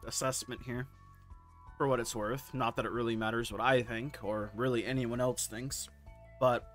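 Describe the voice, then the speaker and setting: A hoarse man with a scratchy, sore-throated voice talks through gaming forum posts in a casual, candid way. His pitch sits mid-range for a man, and faint music plays underneath. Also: a bit bright. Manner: laid-back, candid, occasional swearing. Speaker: gaming video host.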